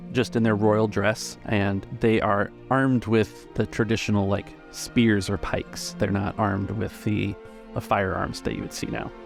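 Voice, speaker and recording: A man narrating a tabletop game as game master, describing scenes in halting, searching phrases. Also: on the low side, vocal fry.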